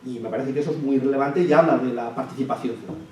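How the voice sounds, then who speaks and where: A man's voice: medium pitch, a little mellow. Man lecturing in an echoey hall. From Spain. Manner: measured, thinking aloud with many restarts and hesitations. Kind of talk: public lecture. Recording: echoey hall, from far off, faint hiss.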